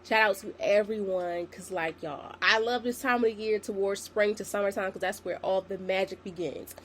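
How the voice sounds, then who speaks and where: A young woman in her twenties hosting a solo podcast, slightly raspy from a sinus infection, chatty and encouraging as she talks to her listeners. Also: mid-range voice, steady tone, moderate pace, fairly bright tone, a little hiss or hum. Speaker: podcast host. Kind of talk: casual solo podcast talk.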